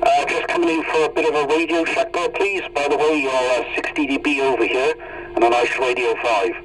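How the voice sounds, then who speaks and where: A man's voice: on the high side, fairly even intonation, fairly quick. A man talking over CB radio, trading signal reports in casual radio jargon. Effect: CB radio transmission. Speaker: CB radio operators calling in. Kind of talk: informal CB radio chat with procedural jargon. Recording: very noisy, distant CB radio reception. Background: loud radio noise.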